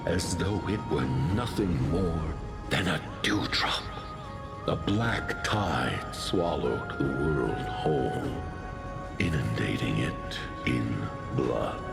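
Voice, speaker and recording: A solemn storyteller of old legends in a video game. He speaks slowly and gravely, with wide dramatic swings in pitch. Every phrase is measured, and music plays clearly beneath him. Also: full character voice. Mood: grave, ominous. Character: solemn male narrator of an ancient myth. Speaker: narrator. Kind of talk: solemn mythic storytelling narration. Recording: music under the voice.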